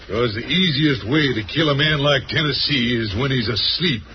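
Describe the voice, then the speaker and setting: A man playing an obedient, slow-witted outlaw sidekick with a bloodthirsty streak. He talks in a folksy American western drawl on a dull, noisy vintage radio western recording. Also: mid-range voice, natural voice. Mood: eager menace. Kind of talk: old-time radio western acting.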